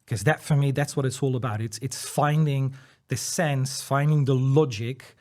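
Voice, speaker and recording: A man's voice: medium pitch, fairly even intonation, normal speed, a little mellow. A Dutch-speaking man, a guest in a casual interview, who talks earnestly and encouragingly. He often restarts his sentences and repeats words as he thinks aloud, and drops in light laughs between his points. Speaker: interview guest, dyslexia educator.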